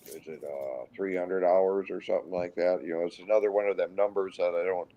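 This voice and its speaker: Low-voiced older Minnesota man, halting. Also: fairly even intonation, warm tone, muffled recording. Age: 60s. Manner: rambling, halting, with frequent restarts. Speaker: guest pilot. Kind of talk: casual storytelling interview.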